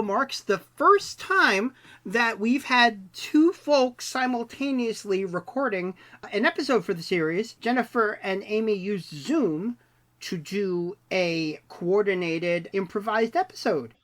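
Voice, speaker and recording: A woman's voice: mid-range voice, lively intonation, slow, a bit bright. A woman giving scripted narration as the presenter of a behind-the-scenes feature.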